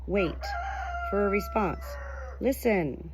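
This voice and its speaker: A woman's voice: mid-range voice, expressive, slow, slight background noise. A woman reading a nonfiction book aloud as scripted audiobook narration. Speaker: audiobook reader.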